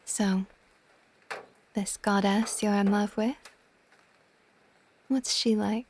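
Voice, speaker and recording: A woman voicing an ancient, gentle and possessive dragon goddess in a soft, intimate, partly whispered ASMR roleplay. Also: medium pitch, fairly even intonation, slow pace, slight background noise. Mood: gently probing. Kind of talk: ASMR romantic audio roleplay.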